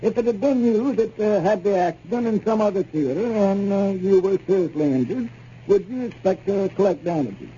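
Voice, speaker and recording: An actor playing a polite, crafty city lawyer who asks leading questions in a hesitant way, in a 1930s radio comedy. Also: male voice, sits high, animated, normal speed, dark tone. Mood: courteous, probing. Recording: muffled, hissy 1930s radio recording.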